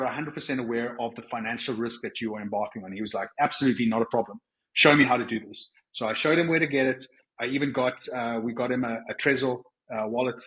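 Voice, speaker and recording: A man with a South African accent talks in a conversational interview style at a medium pitch. He explains his points earnestly and often restarts or rephrases mid-sentence. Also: fairly bright tone, rough recording. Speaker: podcast guest.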